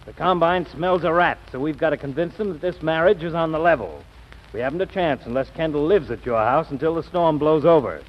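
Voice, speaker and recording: An actor playing a glib, pushy attorney with emphatic delivery in a 1940s radio comedy. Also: male voice, fairly high pitch, fairly quick, natural voice. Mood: insistent. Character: fast-talking, pushy, glib male attorney. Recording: dull vintage radio recording.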